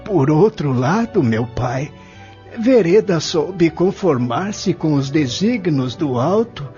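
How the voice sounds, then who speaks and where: A man with a Brazilian accent playing a gentle, earnest young man in an audio drama, speaking in a measured, preaching way. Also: fairly high pitch. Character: gentle young man, solemn spirit guide or devoted friend. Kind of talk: audio drama acting in a spiritist radio novel. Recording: dull lo-fi audio with music behind.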